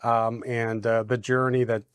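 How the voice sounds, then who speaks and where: A man from Germany with a German accent, a guest attorney in a studio interview who talks haltingly with many fillers and restarts. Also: mid-range voice, flat delivery, clear, resonant tone, no echo.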